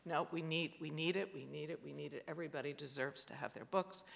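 A man with a fairly high, bright and gravelly voice presents at a panel, halting and full of fillers and restarts. He sounds like he's in a large auditorium with a long reverb tail. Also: fairly even intonation. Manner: hesitant, many fillers and restarts. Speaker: architect. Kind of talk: panel talk presenting slides.

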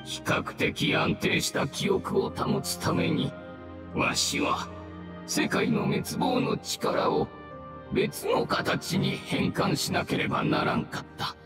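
An actor playing a dying ancient mushroom spirit in a game, weary and devoted, with a weak, halting, archaic old man's delivery. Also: on the high side, wildly swooping pitch, creaky, roomy sound, over music, exaggerated voice. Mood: weary, resigned. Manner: slow, archaic elderly speech, halting. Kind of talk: dramatic game dialogue acting.

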